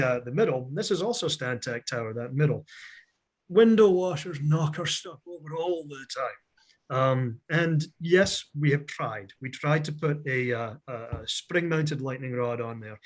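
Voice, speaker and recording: A middle-aged man from Scotland speaks with a blended Scottish and American accent, his voice sitting a little high for a man. He presents a technical seminar over a video call, chatty and energetic with frequent jokes. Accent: mixed Scottish and American.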